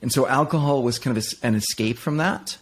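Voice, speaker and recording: A man's voice: medium pitch, quick pace, slight background noise. A middle-aged man from Denmark, talking candidly as an interview guest, with frequent restarts and fillers as he reflects on his life. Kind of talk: reflective personal conversation.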